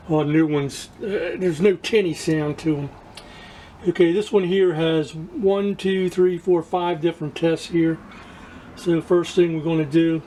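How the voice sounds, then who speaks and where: Mellow-voiced man, halting and casual. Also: a little high, slight background noise, rough recording. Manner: halting, searching for words, repeats himself. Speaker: radio restoration hobbyist presenting to viewers. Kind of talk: casual hands-on demonstration.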